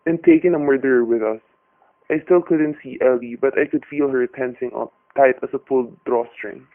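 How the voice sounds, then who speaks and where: A man's voice: mid-range voice, warm tone, lightly acted. An actor playing a worried, cowed husband and father in an old radio drama, narrating and speaking in terse, plain hard-boiled style. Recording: tinny vintage radio recording, background noise.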